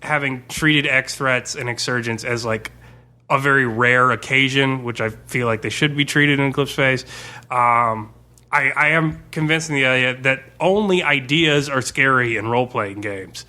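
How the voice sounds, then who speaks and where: A man's voice: medium pitch, moderate pace, pushed and bright. A man in casual, sweary conversation, explaining things informally as a tabletop game master. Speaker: tabletop game master and podcast guest discussing his campaign. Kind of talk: casual chat.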